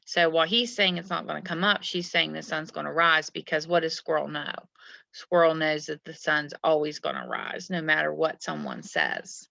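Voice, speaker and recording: A woman with a bright, forceful voice and a noticeable creak guides children through a read-aloud. She explains as she goes and keeps putting questions to the listeners, in the manner of a teacher. The recording is very dry and a little dull. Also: low voice, normal speed, no room sound. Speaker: teacher reading a picture book aloud.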